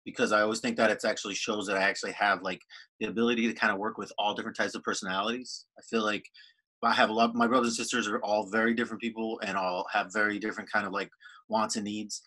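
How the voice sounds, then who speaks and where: A man's voice: fairly low pitch, flat delivery, quick pace, bright, forceful tone, rough recording. A middle-aged man telling a personal story on a video call from home, talkative and full of fillers. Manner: talkative, many "kind of" and "like" fillers. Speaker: workshop participant. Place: room at home. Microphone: video call on a laptop.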